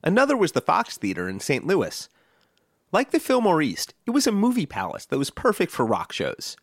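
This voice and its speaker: A man's voice: on the high side, moderate pace, no echo, studio quality. A man hosting a podcast, reading scripted narration with a storytelling delivery that builds through lists. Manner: lively storytelling delivery with list-like build-ups.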